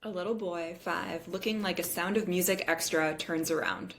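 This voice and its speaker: A woman's voice: on the low side, lively intonation, normal speed. A woman reading screenplay stage directions as narrator in scripted table-read style.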